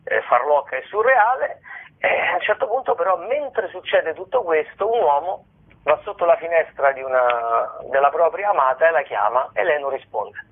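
A man talking informally as an interview guest, a stage comedian explaining his work in a halting, rambling way with repeated words and tag questions. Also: on the high side, lively intonation, husky. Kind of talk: informal radio interview talk. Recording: band-limited radio or telephone-like sound.

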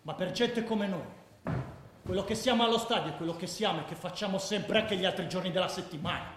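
An actor playing an excitable young male football fanatic, recounting clashes in slangy radio-drama narration. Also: high-pitched, brisk. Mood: tense, emphatic. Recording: echoey, clearly noisy background.

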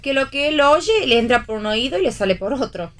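Actress as wry radio-play oddball: informal, whimsical, slightly high-pitched female. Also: expressive, fairly quick, a bit bright. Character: whimsical, wisecracking woman, stubborn and familiar. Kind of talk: read theatre for radio.

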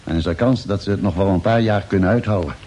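An actor playing an earnest, suspicious spaceship doctor in an old-style radio play. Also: male voice, deep voice, fast talker, dark tone, slight background noise, close to an everyday voice. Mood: hopeful, reproachful. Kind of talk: Dutch radio play acting, mid-century.

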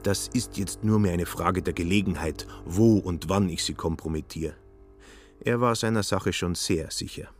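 Deep male narrator reading a radio drama story, bit of music behind. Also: moderate pace.

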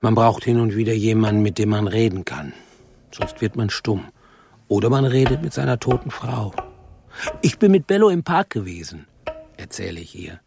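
Older widower, lonely, meek, rambling, radio play, strongly varied intonation, music behind. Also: male voice, on the low side, lively intonation, gravelly. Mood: wistful, lonely. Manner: meek, rambling, halting, melancholy. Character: lonely, ageing widower intruding meekly for some company. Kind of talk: radio drama acting.

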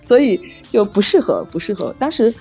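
Woman guest, lo-fi sound, animated intonation, self-deprecating and giggly, halting casual talk. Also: medium pitch. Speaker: novel author, guest. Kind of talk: casual unscripted chat. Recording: muffled lo-fi audio, music behind. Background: saxophone background music.

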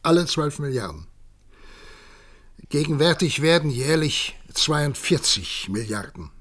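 An actor playing a grave, statesmanlike president in a radio play, speaking in a measured, formal, oratorical way. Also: male voice, on the high side, very animated, a bit bright, exaggerated voice. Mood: grave, explanatory. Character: grave statesmanlike male president of future humanity. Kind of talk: German radio play acting.

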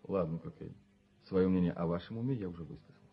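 An actor playing a blunt young gentleman doctor in a radio play, curt and sardonic. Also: sits low, fast talker, vocal fry. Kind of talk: Soviet radio play acting. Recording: muffled 1970s radio drama recording.

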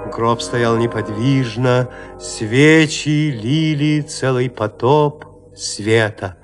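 A man narrating a literary audio drama in a measured, suspenseful manner with deliberate pauses. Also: medium pitch. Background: music.

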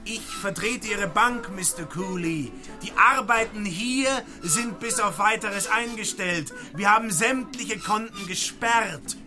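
A formal, curt bank official delivering bad news in a radio play. He speaks high and slowly. Music plays behind. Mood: cold. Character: cold formal male bank representative.

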